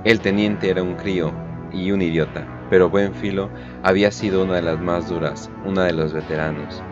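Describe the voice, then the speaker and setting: A low-pitched man narrates a dark war story in a solemn, deliberate manner. Music plays clearly behind his scripted, dramatic reading. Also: fairly even intonation, lo-fi sound.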